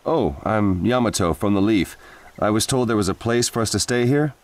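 A man voicing a polite, dutiful ninja captain with a courteous, measured manner in an anime game dub. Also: lowish voice, close to an everyday voice.

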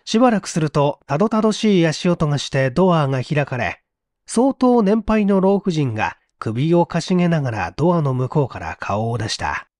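Guy narrating a mystery story, really expressive pitch swings, dry close sound. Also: moderate pace, no echo. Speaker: story reader. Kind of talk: dramatic literary narration.

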